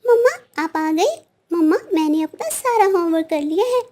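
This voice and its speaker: A woman plays a little schoolboy bird in a children's cartoon, very high and soft-toned. The young bird is sweet and earnest, an eager child who talks to please. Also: expressive, moderate pace. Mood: eager, cheerful. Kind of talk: children's cartoon story acting.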